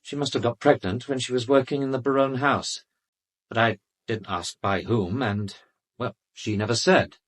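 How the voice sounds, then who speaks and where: An actor playing an arrogant, urbane British doctor in a radio drama, speaking with haughty, defensive precision. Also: male voice, mid-range voice, fairly even intonation, moderate pace, lightly acted. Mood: dismissive, defensive. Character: arrogant, urbane male doctor under police questioning.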